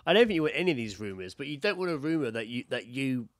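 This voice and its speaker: A man's voice: mid-range voice, sing-song, fast talker, no echo. A British man co-hosting a podcast in casual, jokey, sweary banter, sometimes reading stories out. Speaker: podcast co-host.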